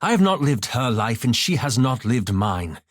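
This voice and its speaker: Prim, wry elf wizard, very expressive. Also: male voice, mid-range voice, normal speed, dry recording. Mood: defensive, firm. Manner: formal, dry, sardonic diction. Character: prim, wry, scholarly male elf wizard, easily exasperated. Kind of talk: video game character dialogue.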